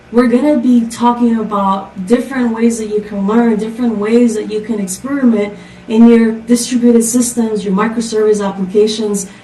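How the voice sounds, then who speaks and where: A woman's voice: on the high side, darkish tone. A woman from Costa Rica giving a prepared tech conference talk, upbeat and full of rhetorical questions. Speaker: conference speaker. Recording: echoey, distant from the mic.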